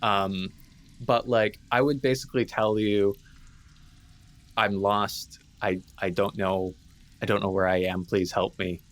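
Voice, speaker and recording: Low-voiced man chatting through a game. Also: little pitch movement, unhurried. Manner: rambling, peppered with "like". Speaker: tabletop game player. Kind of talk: casual improvised roleplay chat. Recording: music playing behind the voice. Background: clear background music.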